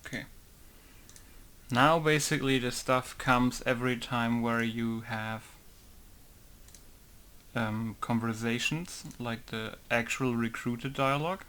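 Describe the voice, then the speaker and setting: A man's voice: mid-range voice, pushed and bright. Man muttering through a modding tutorial. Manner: muttering to himself, thinking aloud. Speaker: game modder. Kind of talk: casual tutorial while working.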